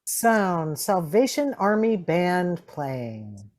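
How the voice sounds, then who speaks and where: A woman's voice: on the low side, big pitch swings, drawn-out words, darkish tone. A woman reading out sound-effect cues from a radio drama script.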